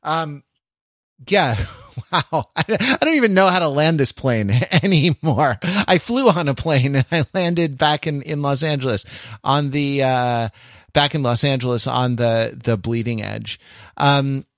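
A man chatting casually as a podcast co-host, halting and often repeating his words as he goes. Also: mid-range voice, a bit bright, dry recording, studio quality. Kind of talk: casual chat.

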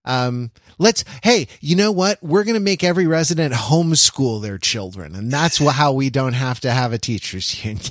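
A man's voice: mid-range voice, animated, moderate pace, few pauses, dry recording, clean studio sound. A man co-hosting a podcast, chatting casually with a wry, sardonic manner. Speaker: podcast co-host. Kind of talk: casual conversational commentary.

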